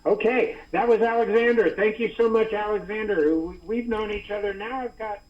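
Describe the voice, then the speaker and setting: A man's voice: sits high, moderate pace. A man hosting an online poetry reading in casual, effusive chat, often repeating himself, heard over a muffled, distant call. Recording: muffled lo-fi call, far from mic.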